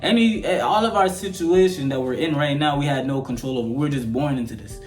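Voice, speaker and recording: A man's voice: fairly high pitch, brisk, faint music behind. An eighteen-year-old man from Sudan with an American accent, ranting to camera in a rambling, conversational way and often asking whether you get what he is saying. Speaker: vlogger. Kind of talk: opinion rant to camera. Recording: roomy echo.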